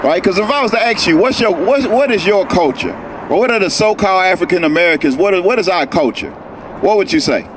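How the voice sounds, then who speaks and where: Brief: male street preacher, high voice, keeps asking "right?", loud traffic noise. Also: animated, quick pace. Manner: questioning, tags lines with "right?". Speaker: street teacher. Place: outdoors on a city street.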